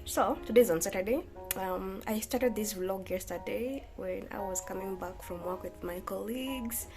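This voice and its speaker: A woman's voice: mid-range voice, moderate pace, music in the background. A young Kenyan woman with a Kenyan accent, vlogging in a rambling, chatty way and slipping Swahili phrases in. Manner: rambling, self-correcting, mixes in Swahili. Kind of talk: casual chatty vlog.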